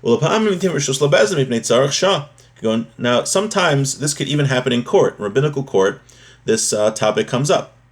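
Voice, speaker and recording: Male religious teacher, mid-pitched voice, reading and explaining a text, restating each point for clarity. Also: animated, very fast. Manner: explanatory, repeats and rephrases points. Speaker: religious teacher giving a daily class.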